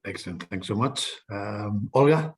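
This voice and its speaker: A man's voice: lowish voice, lively intonation, measured pace. A man teaching an online class, speaking informally and directly as he guides his students. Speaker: course instructor.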